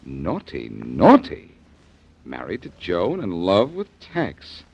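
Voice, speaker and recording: A man's voice: low-pitched, huge pitch swings, takes it slowly, vocal fry, a little mellow, breathy edge, heavily acted. A man playing a cocky, wisecracking private detective in a 1940s radio drama, sardonic and needling. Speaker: detective lead. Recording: dull old radio recording.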